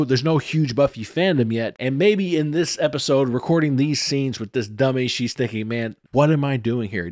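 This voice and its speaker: A man's voice: medium pitch, no echo. A man around his forties hosting a conversational, critical commentary, stressing points with repeated phrases, close to a studio microphone. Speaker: host of a TV commentary show. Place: small indoor home studio. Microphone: close microphone on a stand, headset worn.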